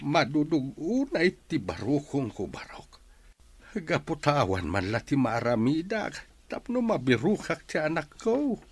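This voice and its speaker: Guy playing a husky ilocano dad in a radio drama, middle-aged, grieving and resentful, pitch swings a lot. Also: big pitch swings, a little slow, heavily acted. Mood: anguished. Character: grieving, resentful father of a missing son.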